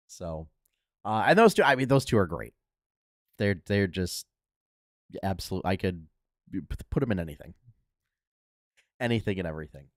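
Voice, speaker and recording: A young adult man hosting a casual gaming news podcast, talking in a relaxed way with lots of fillers, a little nasal from a cold. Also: sits low, unhurried, pauses often, no echo, clean studio sound. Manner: laid-back, many fillers and restarts. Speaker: podcast host.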